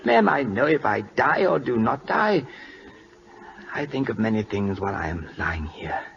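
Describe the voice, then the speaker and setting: An actor playing a dying, proud and stubborn Siamese king in an old radio drama, speaking halting, formal broken English with weak, labouring breath. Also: mid-range voice, big pitch swings, creaky. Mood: imperious, weakening. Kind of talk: 1940s radio drama acting. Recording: dull, noisy old radio recording.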